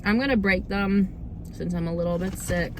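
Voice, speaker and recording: A young woman with a slightly hoarse, under-the-weather voice, chatting casually and informally as she hosts a food review vlog. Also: mid-range voice, normal speed, background noise. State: slightly hoarse, getting over a cold. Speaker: host of a cookie review video series.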